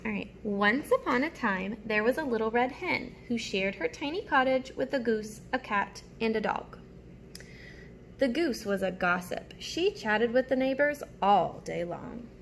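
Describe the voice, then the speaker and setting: A woman reading a picture book aloud as a teacher, with simple, deliberate phrasing and asides to young listeners. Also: on the high side, expressive, creaky, slight background noise.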